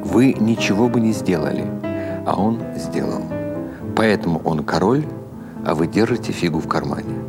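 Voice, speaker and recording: A man's voice: sits low, expressive, normal speed. A man voicing an older Odessa sage with an Odessa accent who lectures in an ironic, sermonizing way, full of rhetorical questions. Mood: wry lecturing. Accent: Odessa Russian. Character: old Odessa cemetery sage, wry and lecturing. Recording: music behind the voice.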